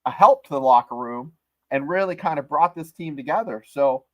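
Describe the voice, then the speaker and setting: Measured podcast co-host man, dry sound. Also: a little high, animated, a bit bright, no echo, muffled recording. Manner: measured, reasonable, reads out news. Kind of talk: casual sports podcast chat.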